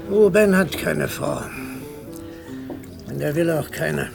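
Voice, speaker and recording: An actor playing a bitter, grumbling older father in a radio play, with a gravelly, husky voice. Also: fairly high pitch, music in the background, exaggerated voice. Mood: tipsy gossip. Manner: slow, grumbling. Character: bitter, grumbling old father in poor household.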